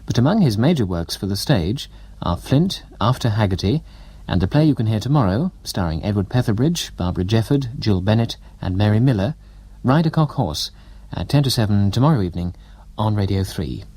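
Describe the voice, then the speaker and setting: A British man works as a radio continuity announcer, reading from a script with formal, measured delivery. His voice sits fairly low but moves with animated intonation. The sound is very dry, with no room around it. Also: slightly rough, dry recording. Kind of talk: scripted announcements and weather forecast.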